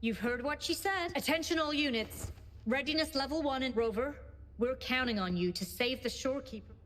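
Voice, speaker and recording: A loyal female officer delivers businesslike, urgent lines in a game cutscene. Her voice is fairly high and rings with long reverb. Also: brisk, fairly bright tone. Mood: urgent, determined. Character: brisk, loyal female officer giving orders. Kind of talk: scripted video game cutscene acting.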